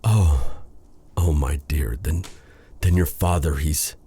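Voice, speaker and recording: A man playing a brooding, tender dark sorcerer in a romantic fantasy audio roleplay, speaking in a halting, intimate way with formal old-fashioned wording and a husky voice. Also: extremely low pitch, a little hiss or hum, exaggerated voice. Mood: tender, shocked.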